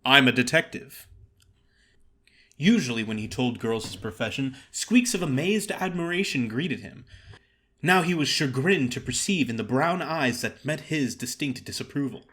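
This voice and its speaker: A man reading a story aloud as theatrical audiobook narration, with a wry, expressive storytelling manner. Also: medium pitch, normal speed. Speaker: story reader.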